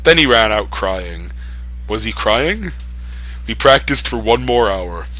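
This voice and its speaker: A man's voice: on the low side, very animated, slow pace, pushed and bright. A man reading fan fiction aloud for comedy, breaking in with mocking, amused asides. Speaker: reader.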